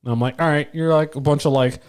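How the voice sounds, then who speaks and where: A man's voice: on the high side, fast, smooth. Chatty young man rambling about music. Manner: animated, talks in long run-on explanations with many fillers. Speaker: podcast co-host. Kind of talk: casual chat.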